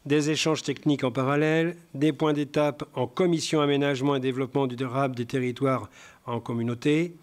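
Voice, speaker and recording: Halting man presenting a council report. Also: medium pitch, steady tone. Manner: halting, hesitant delivery with frequent searching for words. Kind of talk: formal council presentation, semi-improvised from slides.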